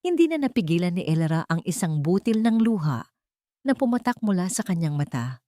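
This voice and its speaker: A woman's voice: fairly low pitch, sing-song, a little fast, dark tone, no room sound. A woman reading a dramatic scripted romance story as narrator.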